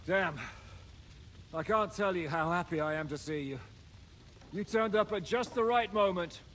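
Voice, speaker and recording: Level-headed, higher-voiced medieval man. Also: moderate pace, fairly bright tone, slight background noise. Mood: relieved. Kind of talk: dramatic video game dialogue.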